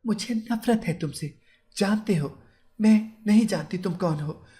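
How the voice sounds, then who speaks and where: A man's voice: high-pitched, normal speed, mellow, pitched above the performer's own voice, close to an everyday voice. A man voicing a bitter, furious young bride in an audio story. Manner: emotional, accusing.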